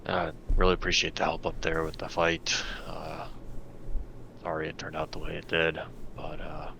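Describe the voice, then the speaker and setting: A man with an extremely deep voice and a lot of gravelly fry plays a tabletop game. He improvises casually in halting phrases, with noise in the background. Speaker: roleplaying game player. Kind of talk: casual in-character improvised talk.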